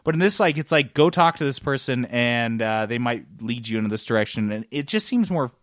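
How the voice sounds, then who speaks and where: A man's voice: medium pitch, animated, a little fast, no room sound. Male podcast co-host, enthusiastic and wordy, casual game chat, very dry close sound. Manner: enthusiastic, rambling explainer.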